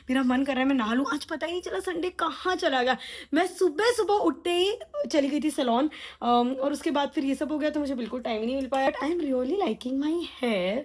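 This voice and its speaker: A young woman talking to camera in a casual vlog, chatty and rambling, poking fun at herself. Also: high-pitched. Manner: chatty, rambling, self-mocking. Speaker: vlogger.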